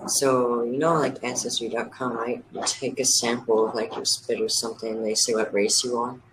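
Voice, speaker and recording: A man's voice: medium pitch, little pitch movement, moderate pace. A man talking hesitantly and in fragments in a casual group debate, recorded with background noise.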